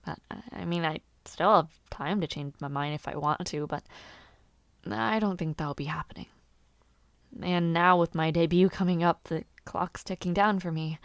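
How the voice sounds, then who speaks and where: A woman playing a gentle, modest teenage noblewoman in a fantasy audio roleplay, speaking with hesitant, earnest little stammers. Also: on the low side, dry recording, close to an everyday voice. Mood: wistful. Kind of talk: fantasy audio roleplay acting, intimate one-sided dialogue.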